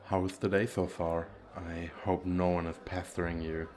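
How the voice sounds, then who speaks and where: A man playing a gentle, awkward single dad who flirts shyly and hesitantly in an ASMR roleplay. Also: deep voice, level tone, moderate pace, lightly acted. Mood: polite, hesitant. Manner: hesitant, self-deprecating, soft chuckles.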